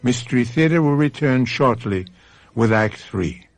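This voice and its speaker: A man's voice: mid-range voice, animated, heavy background noise. Portentous male radio mystery host. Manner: dramatic, portentous, deliberate. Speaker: host and narrator. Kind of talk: scripted radio mystery narration.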